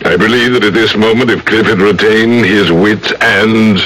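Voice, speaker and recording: Forceful, pompous old judge. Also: male voice, on the low side, steady tone, husky. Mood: menacing. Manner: pompous, deliberate, formal. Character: menacing, greedy, pompous older male judge. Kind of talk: old-time radio drama acting. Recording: noisy old radio broadcast.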